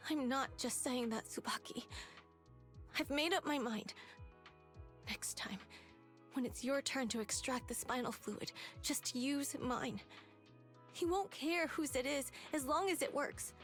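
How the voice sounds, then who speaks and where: Grave, high-voiced sickly girl. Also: measured pace, long pauses, fairly bright tone, soft background music. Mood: resigned, determined. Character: sickly, resigned, selfless young girl.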